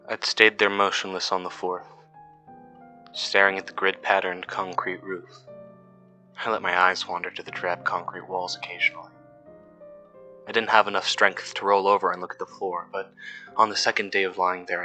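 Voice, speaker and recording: A man acting as a weary male cave worker recording a horror audio log. His voice is low and forceful but stays flat and measured, with somber literary phrasing over instrumental music. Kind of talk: scripted first-person horror podcast narration.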